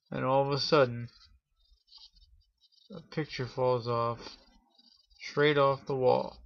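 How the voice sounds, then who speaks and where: A man hosting a Halloween story show, telling a spooky tale in a theatrical showman's manner with drawn-out pauses. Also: mid-range voice, a bit bright. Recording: dull lo-fi audio with clear noise.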